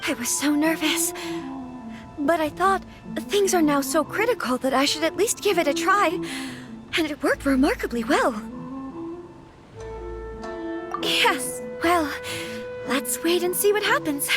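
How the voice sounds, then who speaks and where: An actress playing a composed young noblewoman who speaks calmly, firmly and articulately, in a studio game. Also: very high-pitched, moderate pace. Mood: relieved. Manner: calm, firm, articulate. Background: music.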